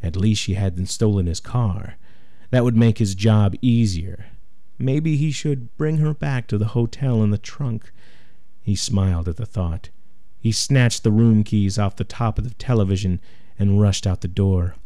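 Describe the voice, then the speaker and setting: A man's voice: lowish voice, measured pace, dark tone. A man narrating a scripted suspense story in a dramatic storytelling style. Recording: noisy, ambient sound behind voice.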